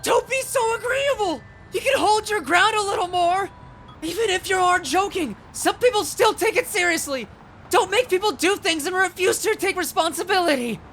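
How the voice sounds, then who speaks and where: A woman playing an earnest, excitable young police officer, righteous and easily exasperated, in an anime fan dub. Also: extremely high pitch, moderate pace, a little hiss or hum, full character voice. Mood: indignant. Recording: voice over background music.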